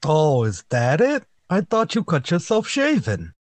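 An actor playing a dim-witted, guileless tavern regular in 1940s radio comedy style. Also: male voice, on the high side, huge pitch swings, a little hiss or hum. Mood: puzzled, guileless. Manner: slow-witted, blunt, guileless.

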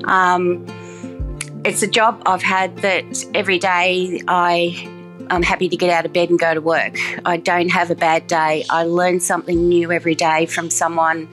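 A woman's voice: on the low side, level tone, normal speed, fairly bright tone, faint music behind. A woman speaking casually in an interview, chatty, with many ums and restarts. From Australia. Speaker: farm program coordinator.

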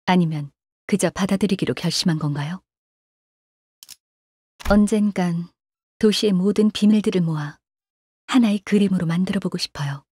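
A young female video game agent, cool, analytical and composed. Her voice is very breathy and mellow, recorded close and dry. She speaks formally, with calm detachment, as if filing a report. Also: lowish voice, normal speed, pauses often, no room sound. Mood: composed, detached. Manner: calm, detached, formal, refers to herself by name. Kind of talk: scripted game character voice lines.

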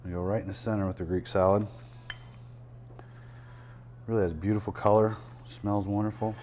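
Casting: chef host in his 60s, lowish voice, relaxed step-by-step demo patter, lapel mic in a restaurant. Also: normal speed, a little hiss or hum. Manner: relaxed, chatty, explains as he works. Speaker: chef and cooking show host. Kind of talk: casual cooking demonstration. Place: restaurant dining room, indoors.

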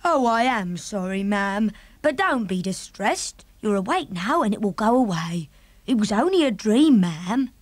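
A woman's voice: medium pitch, big pitch swings, no echo. A woman doing a flustered middle-aged maid. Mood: soothing. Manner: flustered, respectful, quick to fret. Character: middle-aged female maid, nervous and deferential.

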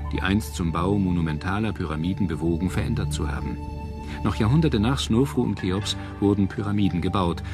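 Low-voiced male documentary narrator over music. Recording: music under the voice. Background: background music.

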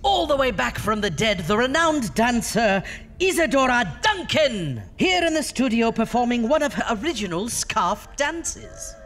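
Rotting ghoul emcee, theatrical, gleefully morbid, very animated, music underneath. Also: medium pitch. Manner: grandiose, alliterative showman. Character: decaying undead horror host, theatrical, gleefully macabre. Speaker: horror show host. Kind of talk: theatrical horror anthology hosting. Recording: long reverb, music underneath.

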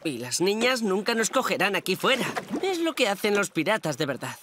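A woman's voice: medium pitch, sing-song, fairly quick, background noise, natural voice. A woman voicing a bossy, boastful little boy playing pirate in a children's cartoon dub. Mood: cocky. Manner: cocky, swaggering. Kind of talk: animated children's series dub.

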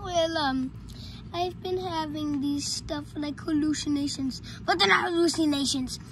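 A female performer voicing a frightened, sleepless boy in an amateur horror skit, whining, halting and pleading as he repeats himself. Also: high-pitched. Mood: anxious, insistent. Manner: whiny, halting, repetitive, pleading. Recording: noisy homemade recording.

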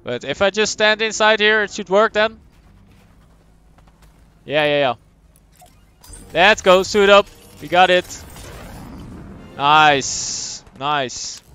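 A young man from the Netherlands with a Dutch accent, chatting casually as he streams a game, answering chat and thinking out loud. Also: high voice, takes it slowly, pauses often, smooth, soft background music. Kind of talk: live gameplay commentary, reading chat.

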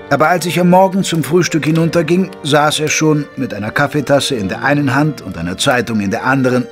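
An actor playing a loyal gentleman doctor who narrates a detective story in a measured, literary way. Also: mid-range voice, natural voice. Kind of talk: scripted audio drama narration.

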